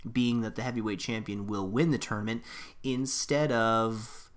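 A man's voice: lowish voice, steady tone, moderate pace. A man hosting a podcast, talking through wrestling news in a rambling, self-correcting, conversational way. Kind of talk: casual solo commentary.

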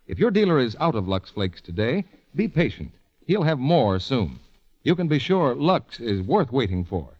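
Lively male 1940s radio announcer. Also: medium pitch, normal speed. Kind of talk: 1940s scripted advertising narration. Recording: dull vintage radio broadcast.

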